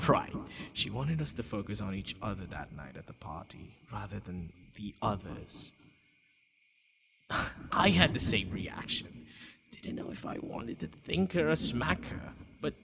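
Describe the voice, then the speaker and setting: A man playing a centuries-old vampire lover, tender, possessive and flustered, halting and sighing in an intimate ASMR romance roleplay with night insects faintly behind him. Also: mid-range voice, fairly bright tone, heavily acted. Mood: amused, musing, then flustered, disbelieving. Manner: halting, stammering, breathy sighs.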